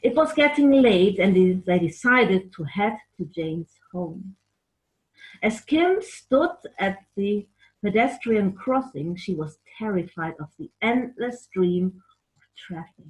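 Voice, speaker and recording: A woman telling a children's story in an animated, explanatory way, with playful asides to a puppet. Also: medium pitch, slow pace, low-quality recording. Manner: animated, explanatory, makes playful sound effects.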